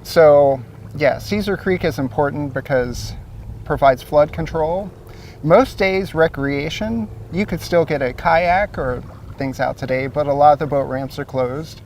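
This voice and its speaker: A man's voice: on the high side, unhurried, darkish tone, recorded from a distance, a little hiss or hum. A man from Ohio, a guest, explains how his city's water supply works in an easygoing way with some fillers, speaking outdoors by a lake. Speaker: source water protection coordinator, guest. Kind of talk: explanatory interview answers.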